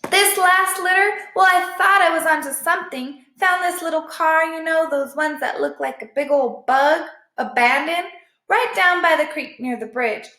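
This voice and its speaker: A woman's voice: extremely high pitch, normal speed, pushed and bright, echoey room, pitched above the performer's own voice. A woman voicing a tough stray female dog from a storybook, blunt, matter-of-fact and resigned.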